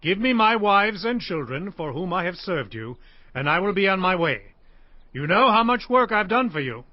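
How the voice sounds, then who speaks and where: An earnest, hardworking shepherd from a Bible drama. His voice sits fairly high and moves in lively swings as he presses his case with emphasis. It is recorded dry and slightly dull. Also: normal speed, no room sound. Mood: earnest, pressing. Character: Jacob, earnest hardworking biblical shepherd and husband. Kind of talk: dramatized audio Bible acting.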